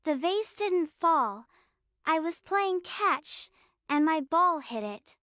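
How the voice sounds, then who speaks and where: A woman voicing an anxious, remorseful little girl in a children's storybook, hesitant and quiet. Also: very high voice, animated, slow, no room sound, studio quality, pitched above the performer's own voice, heavily acted. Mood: nervous, remorseful.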